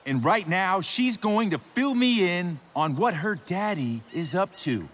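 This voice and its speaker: Game villain: volatile drug dealer, taunting and sarcastic, animated pitch, unhurried menace. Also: male voice, full character voice. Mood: cold menace. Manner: taunting, drawn-out, sarcastic delivery.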